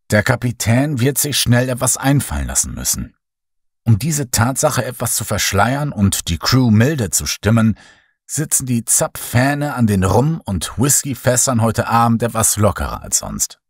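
Breathy man reading horror. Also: fairly low pitch, no echo, clean studio sound. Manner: dramatic first-person storytelling, lively voiced dialogue. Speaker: narrator.

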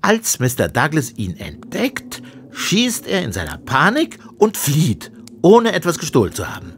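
A self-assured male police inspector in an audio drama. He is brusque and emphatic, with a very lively, swinging delivery. Faint background ambience sits under the voice. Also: fairly high pitch, unhurried, slight background noise, full character voice. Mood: probing. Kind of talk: German audio drama acting.

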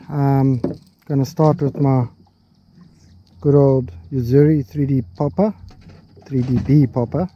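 A man's voice: on the high side, highs cut off, a little hiss or hum. A South African man in his thirties, chatting casually as he films a fishing trip from a boat on a lake. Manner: relaxed, chatty, laughs easily. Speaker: fishing vlogger. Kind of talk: casual fishing vlog chat. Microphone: action camera on the boat.

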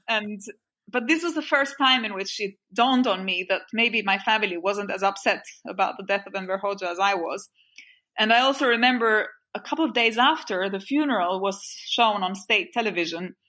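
A woman in her forties from Albania speaks with a clear, bright tone. She tells her memories thoughtfully and unhurriedly, pausing to correct herself mid-phrase. Her voice sits at an ordinary middle pitch. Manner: thoughtful, recalls memories with small restarts. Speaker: guest. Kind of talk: reflective interview storytelling.

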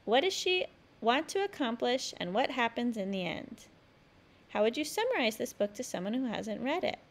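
A woman reads aloud for young children as a host and storyteller. Her voice sits fairly high and swings widely in pitch, with a clearly creaky edge. She delivers each phrase expressively and with emphasis. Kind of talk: expressive picture-book read-aloud narration.

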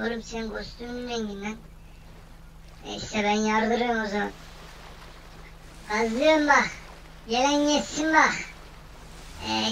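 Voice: medium pitch, creaky, a bit bright, distant mic, slight background noise, poor audio. A gaming host giving casual, playful commentary in slangy banter, often repeating phrases over and over. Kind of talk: casual gameplay commentary, playful role-play.

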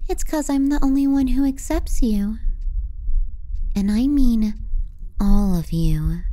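Casting: female performer for manipulative younger sister, young adult, possessive and mocking; very dark, velvety, breathy murmur for ASMR roleplay. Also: a little high, rough recording. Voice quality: soft, breathy. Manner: slow, breathy murmuring that slips into whispers. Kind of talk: intimate ASMR roleplay acting.

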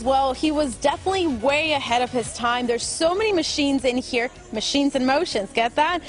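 Brief: young woman field reporter, bubbly live TV style, high voice, soft music behind. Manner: bubbly, chatty. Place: large indoor museum hall. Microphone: handheld mic.

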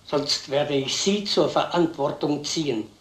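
A man plays an officious village schoolmaster in a vintage crime film. His high voice is curt and sarcastic, and the sound is roomy. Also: measured pace, noisy background, close to an everyday voice. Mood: curt, hostile.

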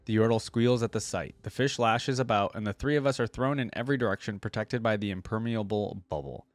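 A man's voice: fairly low pitch, a little fast, no echo. A young man reading a story aloud and commenting casually as a reaction video host. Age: young adult.

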